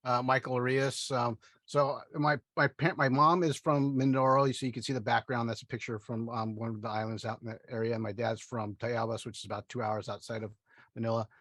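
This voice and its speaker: A man introducing himself on a video call in a relaxed way, with many fillers. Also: medium pitch, brisk, no room sound. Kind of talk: casual video call chat.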